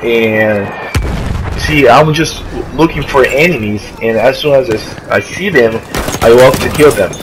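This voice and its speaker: A young Brazilian man with a Portuguese accent talks through his match in English, often pausing and restarting to find his words. His pitch sits at an ordinary middle height. A clear layer of noise runs under the whole makeshift recording. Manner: halting, searching for words, many restarts. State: coughing from allergy. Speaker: gamer. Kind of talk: casual gameplay commentary. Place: friend's house while traveling. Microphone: makeshift mic, not his usual. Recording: noisy, poor-quality home recording.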